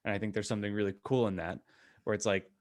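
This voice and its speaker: A man co-hosting a podcast in casual chat, rambling and halting as he talks. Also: fairly low pitch, fairly even intonation. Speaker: podcast co-host.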